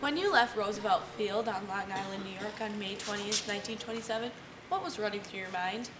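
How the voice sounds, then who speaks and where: A woman's voice: medium pitch, fairly quick, noisy background, close to an everyday voice. A woman playing a 1920s field news reporter in a scripted amateur newscast. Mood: inquisitive. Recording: roomy distant mic, music behind.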